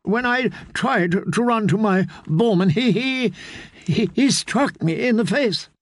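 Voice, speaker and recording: Guy doing a crying noble lady, way up high, stuttering on every other word. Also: lively intonation, unhurried, dry recording, clean studio sound. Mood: tearful, stammering. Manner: stammering, quavering. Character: distraught noblewoman, stammering and sobbing.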